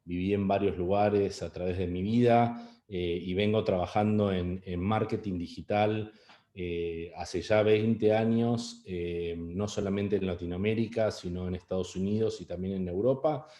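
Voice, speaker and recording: A man's voice: low-pitched, steady tone, a little slow, warm tone, roomy sound. A middle-aged man with an Argentine Spanish accent giving an online webinar talk, hesitant, with many fillers and restarts. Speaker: webinar speaker. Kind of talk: online presentation.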